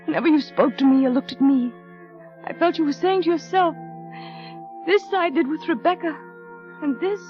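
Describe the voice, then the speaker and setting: Actress doing anxious young wife, real high voice, earnest n pleading, 1930s radio drama, muffled old recording. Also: female voice, normal speed, long pauses, soft background music, lightly acted.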